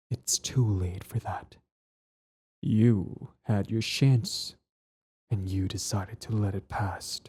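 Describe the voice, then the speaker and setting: A man playing a low-voiced, cold villain prince. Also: mellow, breathy edge. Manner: condescending, menacing, deliberate, theatrical. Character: cold, cruel young prince villain, taunting and possessive. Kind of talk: ASMR roleplay drama, scripted monologue.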